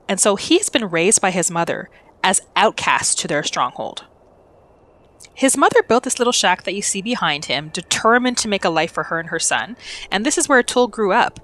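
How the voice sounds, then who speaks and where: A woman's voice: mid-range voice, fairly bright tone. A woman narrating a character's backstory for a video game roleplay in a scripted storytelling style.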